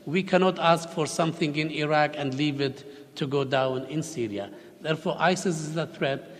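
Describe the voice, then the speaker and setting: A man giving measured, diplomatic remarks as a panel speaker in a conference hall. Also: on the high side. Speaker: panel speaker for a regional government. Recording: long reverb of a conference hall.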